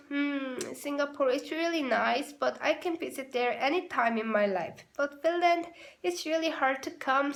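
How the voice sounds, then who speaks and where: A young woman, an exchange student, casually introducing herself and her studies with a few hesitant fillers. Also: high-pitched. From South Korea. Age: 20s. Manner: chatty, occasional hesitant fillers.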